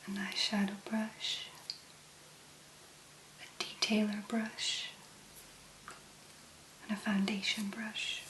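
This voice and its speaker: A woman speaking in a hushed, gentle whisper with long pauses, as an ASMR host. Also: mid-range voice, monotone. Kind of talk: soft-spoken whispered ASMR. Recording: roomy echo, clear background noise.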